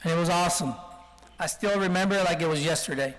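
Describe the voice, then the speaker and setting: A man's voice: a little high, fast, fairly bright tone. A man in his forties from Colombia who grew up partly in Texas, giving an informal guest speech full of anecdotes and self-deprecating jokes. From Colombia, then Texas from childhood. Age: 40s. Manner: joking, anecdotal storytelling. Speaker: guest speaker. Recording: reverberant hall, speech over PA.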